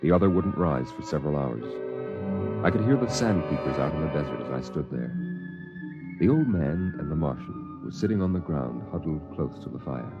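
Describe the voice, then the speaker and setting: A man with a very deep voice narrates the story in a calm, measured way. The sound is dull and lo-fi, like an old radio broadcast. Music plays clearly underneath. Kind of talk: scripted first-person narration.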